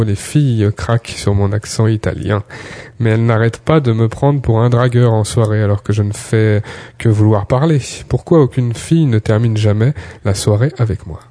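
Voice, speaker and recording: A man hosting a radio show in a studio, with a fairly low, dark voice. He reads listener questions and introduces segments in a calm, measured way. The sound is dry and close. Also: no echo. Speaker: radio host.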